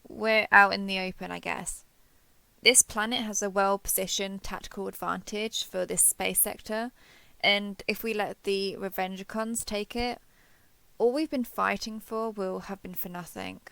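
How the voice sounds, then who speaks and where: A woman plays a giant alien robot soldier from an audio drama, caring and slightly awkward. The voice is bright and forward with some creak, recorded close and dry. The delivery is gentle and reassuring. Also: mid-range voice, fairly even intonation, measured pace, no room sound. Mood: friendly, earnest. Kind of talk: scripted roleplay audio drama addressed to listener.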